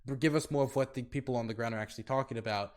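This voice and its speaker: A man's voice: mid-range voice, very fast. An American man hosting a podcast, talking casually and rambling with lots of fillers and restarts. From United States. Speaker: podcast host.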